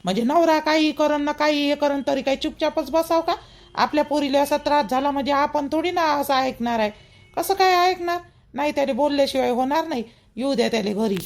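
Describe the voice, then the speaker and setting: A man's voice: very high-pitched, brisk, creaky. A man voices a stern, fretting older village mother in a cartoon, complaining through rhetorical questions in a Vidarbha Marathi accent. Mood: indignant worry.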